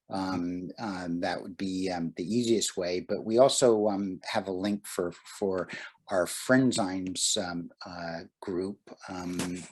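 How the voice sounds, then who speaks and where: Presenter, male, husky low voice, halting casual delivery, video call audio. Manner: hesitant, many fillers and restarts. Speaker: workshop presenter. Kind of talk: casual online talk.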